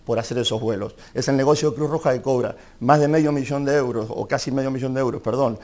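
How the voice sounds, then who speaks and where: Rapid combative man in debate. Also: mid-range voice, steady tone, a little mellow. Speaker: panel guest. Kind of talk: studio debate.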